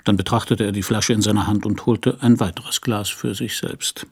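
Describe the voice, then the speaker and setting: An actor playing a middle-aged hard-boiled private detective in a radio crime drama, dry and laconic. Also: male voice, fairly low pitch, fairly even intonation, moderate pace. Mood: dry, wry. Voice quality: husky, gravelly.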